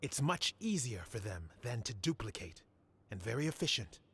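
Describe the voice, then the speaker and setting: An actor playing a grave scholar in a fantasy game, speaking in a solemn, deliberate, explanatory way. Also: male voice, mid-range voice, very animated, fairly bright tone, slightly rough, heavily acted. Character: grave male scholar briefing adventurers on ancient monsters. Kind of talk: scripted fantasy game cutscene acting.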